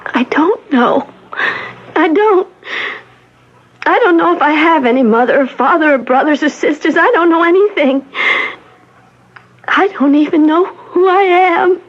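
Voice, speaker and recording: High-voiced, timid young woman. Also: a little slow, pauses often. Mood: troubled, lost. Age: young adult. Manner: hesitant, stammering, meek. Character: timid, lost young woman without memory. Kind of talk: 1970s radio drama acting. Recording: dull vintage radio broadcast recording.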